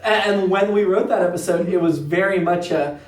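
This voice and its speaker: A man's voice: high voice, a little fast. Male interview host, informal and affirming, unscripted delivery. Roomy sound, a bit off-mic. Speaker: podcast host and radio show writer. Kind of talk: casual conversational interview. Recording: roomy, slightly distant mic.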